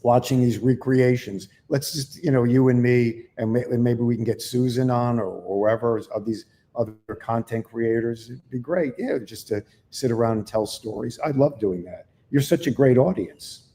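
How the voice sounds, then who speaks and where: An older man, a comedian guest, chatting casually in a halting way, repeating words and chuckling. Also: medium pitch, dark tone. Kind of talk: casual chat.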